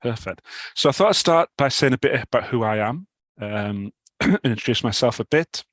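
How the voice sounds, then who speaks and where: A middle-aged British man with a cold, giving a talk over a video call, halting with many restarts. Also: mid-range voice, animated, a bit bright, no room sound. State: has a cold, clears throat. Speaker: guest speaker. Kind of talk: online talk presentation.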